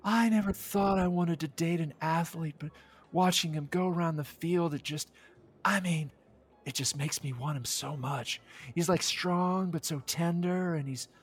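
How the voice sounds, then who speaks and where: A man voicing a gushing, lovestruck young woman in an audio drama, halting and adoring. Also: fairly high pitch, slightly rough, voice pushed up. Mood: adoring, swooning.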